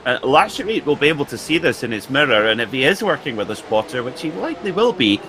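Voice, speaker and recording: A man's voice: mid-range voice, big pitch swings, fast, fairly bright tone, a little hiss or hum, low-quality recording. A man, a sim racing co-commentator, talking through the race analytically and chattily, with many fillers. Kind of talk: live race commentary and banter.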